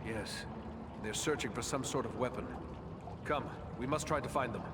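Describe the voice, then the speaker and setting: An actor playing a grave, commanding ranger in a fantasy game, speaking with measured, earnest authority. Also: male voice, medium pitch, heavy background noise, close to an everyday voice. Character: grave, commanding male ranger leading a band.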